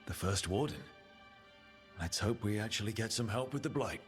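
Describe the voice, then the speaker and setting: Music plays clearly behind a man acting as an earnest fantasy game hero. His voice is on the low side. He speaks plainly, with purpose and resolve. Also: lively intonation, moderate pace, close to an everyday voice. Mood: questioning. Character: earnest, determined young male fantasy hero. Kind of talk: dramatic video game acting.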